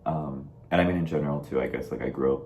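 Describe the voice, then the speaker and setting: A man's voice: rumbling low, fast talker, darkish tone, roomy sound, slight background noise. A young man from Texas co-hosting a casual talk show over a video call, chatty and full of "like" and "I mean". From Dallas, Texas. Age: young adult. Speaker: co-host. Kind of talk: casual chat.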